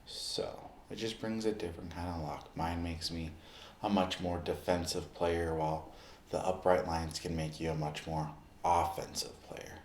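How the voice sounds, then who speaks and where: A man speaking in an ASMR roleplay, addressing the listener directly in short, unhurried phrases with pauses. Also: low-pitched, steady tone, a little mellow, reverberant, a little hiss or hum. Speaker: ASMR performer playing a basketball recovery expert.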